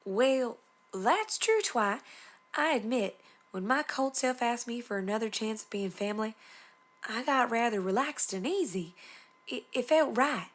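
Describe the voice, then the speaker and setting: Woman doing a country farm pony gal, plainspoken, folksy, kinda high voice. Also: lively intonation, a little slow. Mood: earnest, puzzled.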